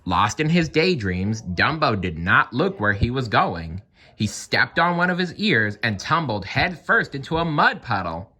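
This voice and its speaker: A man reading a children's story aloud in an expressive, animated narrating style. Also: mid-range voice, measured pace, husky. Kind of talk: children's read-aloud narration with playful asides.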